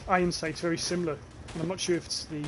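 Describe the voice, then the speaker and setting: Young northern English man, casual livestreamer, chatty and sweary, slightly high voice, clear game noise underneath. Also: level tone, quick pace. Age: young adult. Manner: chatty, swears casually, reads out chat comments. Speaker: streamer. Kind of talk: casual livestream commentary while gaming.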